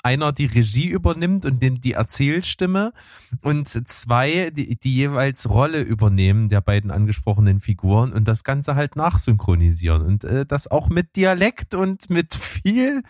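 A man's voice: medium pitch, big pitch swings, moderate pace, a little mellow, dry recording, clean studio sound. A man chatting casually about films and trailers as a co-host, rambling with many fillers. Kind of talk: casual film chat.

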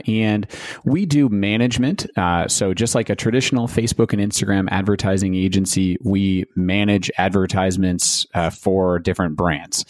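Male podcast guest, lowish voice, dry room, friendly, lots of "uh". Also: a little mellow, no echo. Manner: friendly, fluent, many "uh" fillers. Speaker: guest, ad agency owner. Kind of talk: podcast interview.

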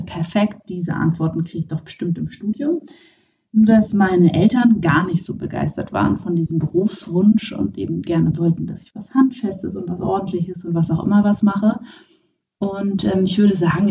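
A young woman talks openly and thoughtfully in her own podcast, pausing often with fillers and restarts. Her voice is mellow and dark in tone. The recording sounds a little muffled and set back from the mic, with a faint hiss behind her. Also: lowish voice. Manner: candid, reflective, frequent fillers and restarts. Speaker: podcast host. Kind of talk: personal podcast monologue. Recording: muffled, slightly distant mic with faint noise.

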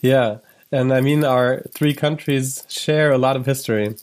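A man's voice: medium pitch, steady tone, measured pace, a little mellow. A man hosting a ministry podcast, a native German speaker who reads an upbeat introduction and then chats with his guest. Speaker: podcast host. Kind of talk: scripted intro then interview chat.

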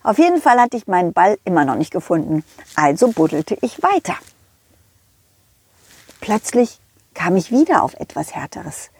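A woman plays a friendly dog narrating a children's story. The telling is eager and very animated, with words repeated for emphasis, in a dry, close recording. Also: on the low side, moderate pace, long pauses, husky, dry recording, full character voice. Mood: earnest. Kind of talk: first-person children's storytelling.

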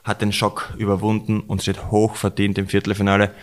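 A man delivers measured football punditry in a fairly low voice. His tone stays flat and level, and he strings phrase after phrase as he reflects on the matches. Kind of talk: post-match football analysis.

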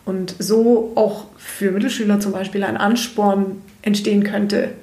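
A woman's voice: medium pitch, fairly even intonation, measured pace, mellow. A young woman from Bavaria, a school teacher, answering interview questions in a frank, chatty way and laughing easily. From Bavaria, Germany. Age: young adult. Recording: roomy echo.

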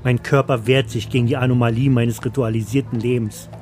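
A man's voice: mid-range voice, little pitch movement, a little fast, a little mellow. A man narrating a scripted first-person story. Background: music.

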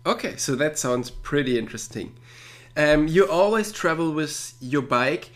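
A man's voice: on the high side, measured pace, a bit bright. A man hosting a podcast interview, asking friendly questions with fillers and repeated words. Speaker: podcast host.

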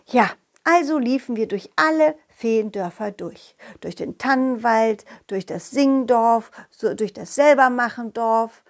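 Casting: upbeat, loyal cartoon dog, voiced by a woman, highish voice, unhurried, playful storytelling for children's audio play. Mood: cheerful, narrating. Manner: playful, expressive, addressing a companion. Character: cheerful, confident, loyal talking dog telling his adventures.

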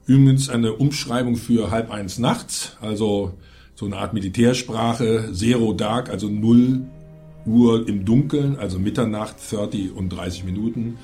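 A man's voice: lowish voice, measured pace, warm tone, faint music behind. A man hosting a film review show, talking conversationally with opinionated asides. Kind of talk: casual film review commentary.

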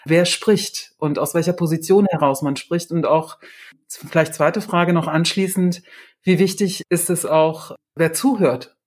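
Woman, podcast host, lowish husky voice, conversational, reflective moderation. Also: steady tone, measured pace, a little mellow. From Turkey connection. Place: indoors, seated beside co-host.

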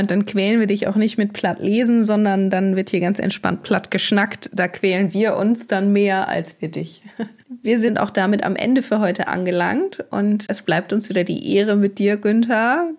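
A woman's voice: mid-range voice, normal speed, a little mellow. A woman hosting a podcast, thanking her guest and wrapping up the episode in a casual, upbeat way. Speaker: podcast host. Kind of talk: casual chat.

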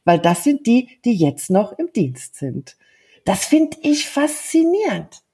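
A woman in her fifties, a native German speaker, talking earnestly and thoughtfully in a casual conversation, often pausing with fillers. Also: fairly high pitch, big pitch swings, mellow, husky. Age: 50s. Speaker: guest.

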